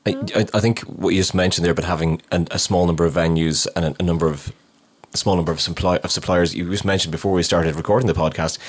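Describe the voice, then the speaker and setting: Deep voiced guy hosting a podcast, talks fast, upbeat and chatty. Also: fairly even intonation, slightly rough. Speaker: podcast host. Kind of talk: casual podcast chat.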